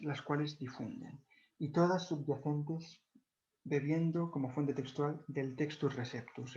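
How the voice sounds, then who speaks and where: Catalan-native male lecturer, slightly high-pitched, reverent and careful, muffled lo-fi audio. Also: warm tone, a little hiss or hum. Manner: measured, devout, corrects himself often. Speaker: video lecturer. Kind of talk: explanatory talk on church history. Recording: dull lo-fi recording.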